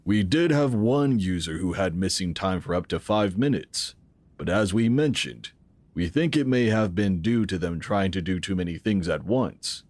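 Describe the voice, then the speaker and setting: Low-voiced, expressive male sci-fi founder. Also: no room sound. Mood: explanatory, assured. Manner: explanatory, measured. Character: calm, assured male founder of a futuristic tech organization. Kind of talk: scripted audio drama acting.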